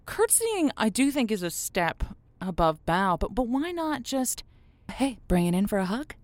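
A woman playing an affectionate, encouraging call-in advice host, folksy and halting, in a semi-improvised audio drama. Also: a little high, big pitch swings, a little mellow, no echo, clean studio sound. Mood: awkward, encouraging. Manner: folksy, halting, reassuring, pet names. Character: encouraging, affectionate female lonely-hearts radio show host.

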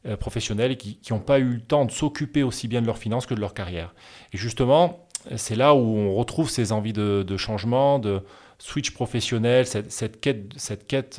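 A man working as a wealth management advisor, answering interview questions in a measured, explanatory way with frequent hesitations. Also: fairly low pitch, a little mellow.

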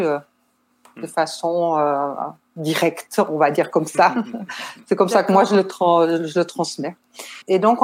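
A woman in her sixties with a Swiss French accent, a nurse and counselor answering interview questions in a hesitant way, with many fillers and restarts. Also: medium pitch. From Switzerland. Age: 60s. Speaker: guest nurse and marriage counselor.

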